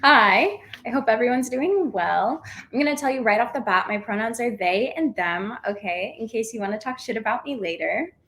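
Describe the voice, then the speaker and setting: A stand-up comedian with a high voice, delivering a dry, deadpan set. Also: female voice, normal speed, hardly any pauses.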